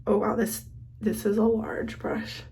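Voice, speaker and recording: A woman's voice: medium pitch, level tone, measured pace, warm tone, a little hiss or hum. A woman vlogger who is sick and losing her voice, chatting casually while she does her makeup. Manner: chatty, thinks aloud while applying makeup. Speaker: beauty vlogger. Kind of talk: casual get-ready-with-me makeup chat.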